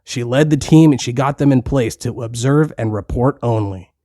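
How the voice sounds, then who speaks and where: A man narrates a children's story in a plain storytelling manner. His voice sits at a middle pitch, and the recording is close and dry, with no room around it. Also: moderate pace, dark tone, dry recording.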